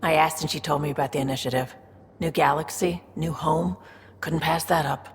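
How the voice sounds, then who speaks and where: A streetwise female smuggler in a space video game. She is low and gravelly, speaking with wry, sly confidence. The room rings with reverb. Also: fairly even intonation, moderate pace. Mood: frank, shrewd. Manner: wry, sly, self-assured. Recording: reverberant room with faint background noise.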